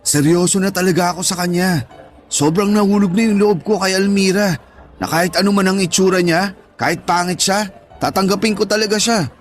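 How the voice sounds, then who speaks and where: Guy acting as lovestruck young man, radio drama, pretty high voice, sincere. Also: fairly quick, soft background music, natural voice. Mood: earnest. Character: earnest lovestruck young man waiting for his online girlfriend.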